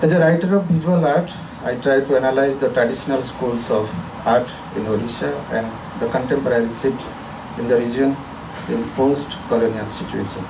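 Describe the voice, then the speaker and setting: A man's voice: mid-range voice, moderate pace, recorded from a distance, background noise. A man from India giving a formal, earnest self-introduction at a conference, speaking from a prepared statement in an auditorium.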